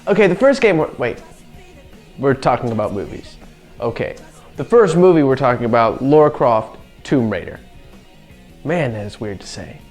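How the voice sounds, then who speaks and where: A man's voice: medium pitch, very animated, moderate pace, long pauses, a little mellow, faint music behind. A young man hosting a casual video review show, reading out facts and riffing on them offhandedly. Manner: chatty, offhand, reads facts then riffs. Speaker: podcast host.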